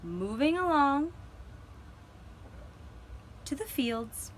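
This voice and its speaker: Very slow, high-voiced woman storyteller. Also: big pitch swings, slight background noise. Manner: deliberate, dramatic pauses. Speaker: storyteller, puppeteer. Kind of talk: gentle children's storytelling.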